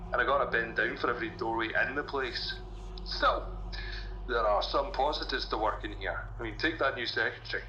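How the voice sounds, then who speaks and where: A man's voice: medium pitch, bright, forceful tone, close to an everyday voice. A man playing a big, good-natured, boastful workman, chatting chummily on a game audio log. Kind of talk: recorded audio log monologue. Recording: muffled, echoey, noisy audio log.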